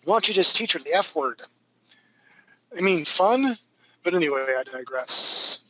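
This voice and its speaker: A man's voice: fairly high pitch, animated, unhurried, bright, forceful tone, a little hiss or hum, rough recording. A man in his thirties teaching a live drawing class, talking through each stroke with chatty joking asides. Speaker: drawing instructor.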